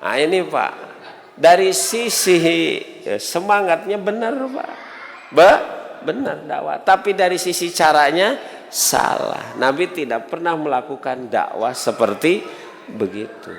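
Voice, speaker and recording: A male Islamic preacher speaks in a reverberant hall, his voice on the high side. He has a Sundanese accent and addresses the congregation conversationally, posing rhetorical questions and acting out short dialogues. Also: very animated, measured pace, creaky. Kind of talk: sermon to a congregation. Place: large mosque hall.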